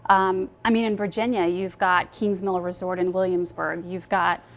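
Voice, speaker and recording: A woman's voice: fairly low pitch, little pitch movement, normal speed. A woman speaking as an expert guest in an interview, giving advice in a casual, conversational way. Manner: conversational, informal. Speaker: travel advisor, show guest.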